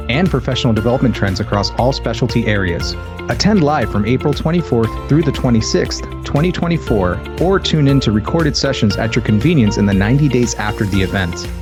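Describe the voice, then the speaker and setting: A man hosting a podcast, reading an ad script and introducing his guest in a smooth, announcer-like way. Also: medium pitch. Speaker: podcast host. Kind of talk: scripted ad read and interview questions. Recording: music bed under the voice.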